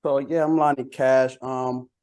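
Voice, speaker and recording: Male interview guest from Costa Rica, Spanish first language, mid-pitched voice, informal slangy chat. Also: level tone, a little slow, darkish tone, smooth. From San Jose, Costa Rica. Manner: colloquial, peppered with "like" and "you know". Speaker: interview guest, bilingual rapper and songwriter.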